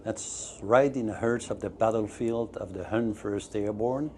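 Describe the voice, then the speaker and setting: A man's voice: on the low side, measured pace, warm tone, a little hiss or hum. A middle-aged man from Belgium, a museum founder eagerly telling his story in slightly broken English during an interview. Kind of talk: on-location interview. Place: museum, indoors.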